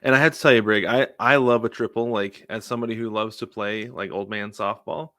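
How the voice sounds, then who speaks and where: Casual, slightly low-voiced male podcaster. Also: steady tone, brisk, bright, forceful tone, dry recording, studio quality. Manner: informal, anecdotal, frequent "like" and "you know". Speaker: podcast co-host. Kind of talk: casual chat on a sports podcast.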